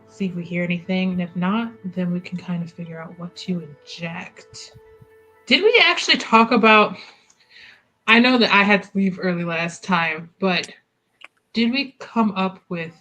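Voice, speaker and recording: A woman playing in a tabletop game, chatty and giggly, thinking her plans out loud. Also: on the low side, low-quality recording. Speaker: player. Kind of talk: tabletop roleplay chat.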